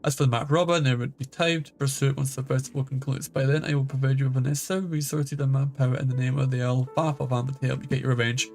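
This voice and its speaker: A man's voice: mid-range voice, little pitch movement, very fast, creaky, few pauses, a little mellow, soft background music. A man streaming a game with casual, rambling commentary and reading its text aloud in an informal way.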